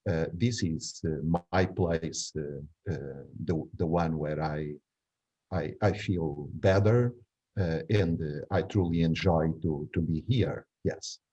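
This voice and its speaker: Man in his sixties, Portuguese accent and native language, very low mellow voice, hesitant conversational storytelling, searching for words. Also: slow, husky, highs cut off. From Angola. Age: 60s. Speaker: interviewee.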